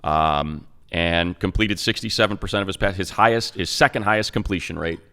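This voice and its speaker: A man with a low, smooth and resonant voice talks football on a team podcast. He runs through numbers fast, cuts himself off and circles back to his point in a loose, casual way. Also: bright, forceful tone. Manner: fast, stat-heavy, self-interrupting. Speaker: radio play-by-play announcer, co-host. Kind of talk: casual sports talk.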